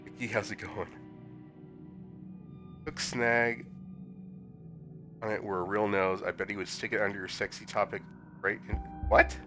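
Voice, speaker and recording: A hoarse man with a fairly low voice streams a horror game, reading its lines out loud in a casual, sarcastic way. His voice sometimes shoots up high in disbelief. Game music plays clearly behind him. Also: flat delivery, unhurried, pauses often. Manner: casual, sarcastic commentary with sudden high-pitched incredulous outbursts. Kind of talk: live stream reading a visual novel aloud.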